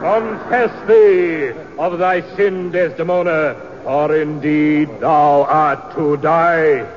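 An actor playing a pompous, grandiloquent stage tragedian in florid, declamatory 1950s radio drama style. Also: male voice, on the high side, fairly even intonation, slow pace, soft background music. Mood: grave, tragic. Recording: muffled old radio recording, echoing hall.

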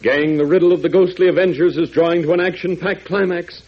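A male radio announcer narrating a 1940s adventure serial in a dramatic, excited style, with a husky voice. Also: high-pitched, fast. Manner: dramatic, building excitement in countdowns. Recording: dull lo-fi 1940s radio transcription.

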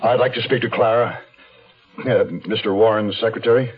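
An American actor playing a hunted, weary man on the run who tells his own story in a brooding, deliberate radio mystery style. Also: lowish voice, steady tone, slightly rough. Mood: polite, probing. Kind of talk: 1970s radio mystery drama, first-person noir narration. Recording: lo-fi phone-like 1970s radio, faint music.

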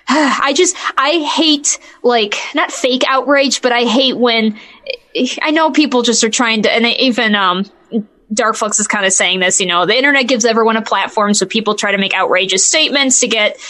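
A woman with a fairly high voice chats casually as a podcast co-host. She talks through her opinions hesitantly, circling back and qualifying what she says as she goes. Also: moderate pace, pushed and bright.

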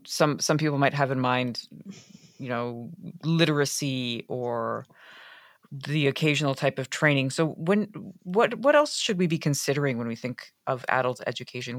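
A woman's voice: rumbling low, normal speed, fairly bright tone, no echo, clean studio sound. A woman hosting a podcast, reading a scripted intro and then asking interview questions with some hesitation. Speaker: podcast host.

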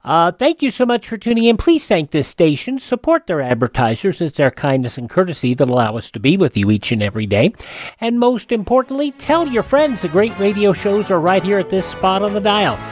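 A man's voice: high-pitched, very animated, a little fast, no room sound, faint music behind. An American man hosting a radio show in a casual, partly scripted style.

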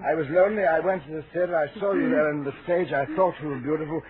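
An actor playing a lonely, obsessive admirer, intense and bitter, in a 1940s radio suspense drama. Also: male voice, on the high side, steady tone, fast talker, a loud crowd, lightly acted. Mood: bitter, anguished. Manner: intense, swinging from hushed coaxing to bitter outbursts. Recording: narrow, scratchy 1940s radio recording.

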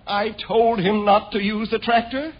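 On a muffled vintage radio recording, a woeful, fretful male neighbour. The voice is very high for a man and a bit husky, lamenting and repeating itself with comic 1950s melodrama. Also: fairly even intonation, measured pace. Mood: distraught. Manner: fretful, repetitive, mournful. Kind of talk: 1950s radio sitcom acting. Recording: dull old radio broadcast recording.

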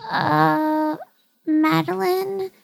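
Tabletop game child: woman playing a shy, wary five-year-old girl, high voice, halting delivery. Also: steady tone, gravelly, heavily acted. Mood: shy, hesitant.

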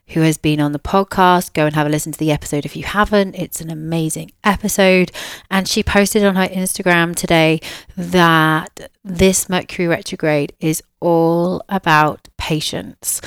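A woman hosting her own podcast in a relaxed, chatty way, and slightly bunged up from a cold. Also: lowish voice, smooth, no room sound, studio quality. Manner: chatty, easygoing, with fillers like "um" and "yeah". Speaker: podcast host, spiritual mentor and psychic medium. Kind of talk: casual solo podcast chat.

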